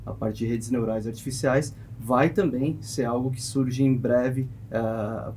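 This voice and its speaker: A man's voice: medium pitch, fairly even intonation, unhurried, darkish tone, slight background noise. A man in his thirties with a Brazilian accent, giving conversational expert commentary as a podcast guest. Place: small foam-padded podcast studio. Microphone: close studio mic on boom arm.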